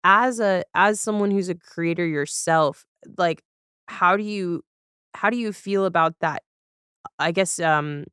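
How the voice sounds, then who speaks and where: A woman's voice: lowish voice, unhurried, a bit bright, smooth, no echo, clean studio sound. A woman hosting a casual interview, phrasing things tentatively and rewording as she goes. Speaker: podcast host, interviewer.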